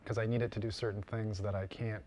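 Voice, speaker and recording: A man with a fairly low voice and very little melody explains his craft in an informal interview. He speaks haltingly, circling back to repeat and rephrase as he goes. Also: little pitch movement, a little mellow, slight background noise. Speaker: interviewed costume and prop maker.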